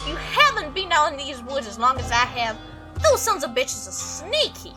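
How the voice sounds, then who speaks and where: A woman's voice: high voice, big pitch swings, moderate pace, over music. A woman voicing a stubborn old male country farmer with a put-on Southern accent and a folksy, scolding manner. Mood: exasperated, insistent.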